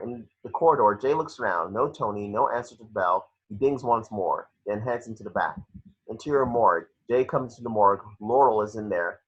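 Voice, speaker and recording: Husky low-voiced man reading stage directions. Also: a bit bright. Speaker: narrator of action descriptions. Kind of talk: scripted narration in amateur table read. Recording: dull lo-fi home recording.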